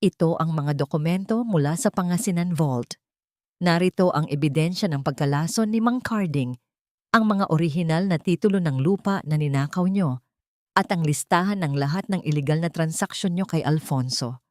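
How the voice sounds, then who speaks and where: Woman acting a stern, resolute man, low-pitched. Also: normal speed, darkish tone, no room sound, clean studio sound, lightly acted. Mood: firm, accusing. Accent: Filipino. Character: stern, resolute male ally presenting evidence.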